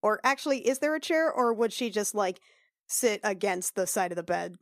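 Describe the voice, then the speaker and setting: A woman talking casually as a tabletop game player, slipping into roleplay in a halting, wry, sweary way. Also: mid-range voice, expressive, dry recording, studio quality.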